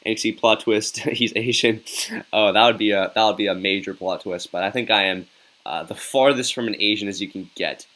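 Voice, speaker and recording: A man's voice: on the low side, moderate pace, fairly bright tone. Upbeat young man hosting a stream. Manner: upbeat and chatty, says "yo" a lot, hypes up viewers. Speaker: live-stream host reacting to a hockey game. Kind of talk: casual live-stream chat, reading viewer comments.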